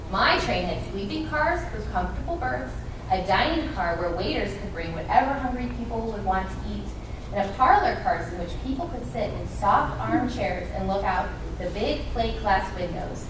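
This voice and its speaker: A woman's voice: mid-range voice, lightly acted. Storybook engine, played by a woman: haughty, emphatic, scornful of others, recorded far off in an echoey room. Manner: snooty, indignant, emphatic. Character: haughty, self-important big male train engine. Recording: echoey room, distant mic, noisy.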